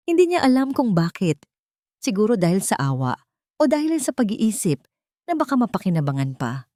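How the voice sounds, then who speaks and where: A woman narrates dramatic fiction. Her voice sits fairly low for a woman. The sound is dry, with very little room on it. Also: warm tone, no echo. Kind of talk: scripted dramatic story narration.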